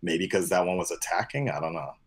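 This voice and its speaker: A young man chatting casually in an office, keeping his voice low and subdued as he reviews an episode. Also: lively intonation, quick pace.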